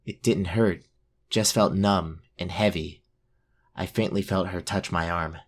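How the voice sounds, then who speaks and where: A man's voice: deep voice, fairly even intonation, unhurried. A man playing a brave but self-doubting young fantasy warrior, narrating his own story in an audio drama. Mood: earnest narration.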